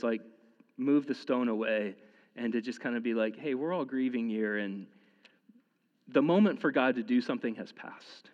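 A man's voice: medium pitch, long reverb tail, highs cut off. A man in his thirties preaching a sermon in a casual, conversational way, often restarting his sentences and using fillers. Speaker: pastor.

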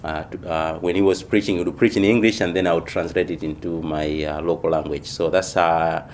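A man from Malawi, a missionary church planter, answering interview questions in a thoughtful, unhurried way with many pauses. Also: low-pitched, level tone, a little mellow, a little hiss or hum.

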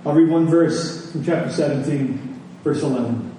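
Echoey, mellow-voiced man preaching a sermon. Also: fairly high pitch, normal speed. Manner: emphatic, repeats phrases for weight; conversational asides and rhetorical questions. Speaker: pastor preaching. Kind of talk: church sermon. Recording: echoey room, distant mic, faint noise.

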